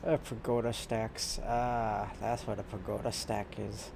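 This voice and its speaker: A man giving casual gameplay commentary, muttering and thinking aloud in a halting way. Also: fairly low pitch, little pitch movement, creaky, background noise. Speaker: gamer. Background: game music, keyboard typing.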